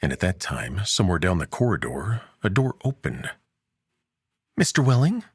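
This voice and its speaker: A male storyteller reads dramatic fiction in a gravelly, fry-laden voice. His pitch moves very widely from line to line, and the recording is dry, with measured pauses for weight. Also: big pitch swings, no echo. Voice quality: gravelly, husky. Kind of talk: dramatic scripted fiction narration.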